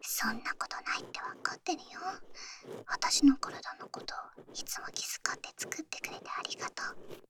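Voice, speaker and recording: A woman's voice: moderate pace, natural voice. A woman playing a young, shy and affectionate athletic girlfriend in an intimate ASMR voice drama, murmuring hesitantly and slipping into whispers, close to the microphone. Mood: musing, affectionate. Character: bashful, affectionate young athletic girlfriend pampering her coach boyfriend. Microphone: close microphone, ASMR style.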